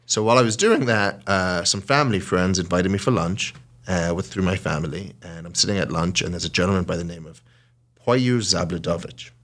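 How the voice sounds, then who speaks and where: A man with a British accent and a very low voice tells his story in a relaxed conversational podcast. He is animated and drops into quoted lines from other people. He sounds like he is in his early forties. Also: a little fast. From South Africa. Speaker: hotel and casino CEO. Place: small podcast studio.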